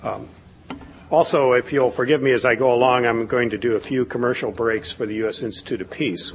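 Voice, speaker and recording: A man's voice: lowish voice, a little hiss or hum. A man who is a diplomat speaking on a conference panel in a conversational way, with light asides. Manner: conversational, self-deprecating asides.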